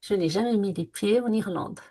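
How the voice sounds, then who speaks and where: A woman's voice: medium pitch, normal speed, dark tone, husky. A woman co-hosting a conversational podcast, speaking reflectively and earnestly with frequent hesitations. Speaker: podcast co-host. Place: lived in France.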